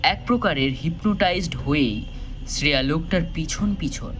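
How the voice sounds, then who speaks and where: A man narrating a thriller audio story in a dramatic, suspenseful style with measured pauses. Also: a little high, expressive, fairly bright tone, soft background music.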